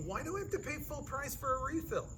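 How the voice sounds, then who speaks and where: An actor plays a self-important young man who writes for TV and needles his friend. His voice sits high for a man and brags breezily. It is a comedy table read over loud outdoor background noise. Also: very animated, quick pace. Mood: indignant. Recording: noisy outdoor recording with crickets, traffic.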